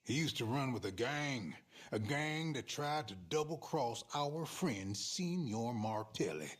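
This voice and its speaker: Slow-drawling, lively American frontier schemer. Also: male voice, medium pitch. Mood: wary, conspiratorial. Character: shady male frontier fixer, sly, folksy outlaw schemer. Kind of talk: video game cutscene acting.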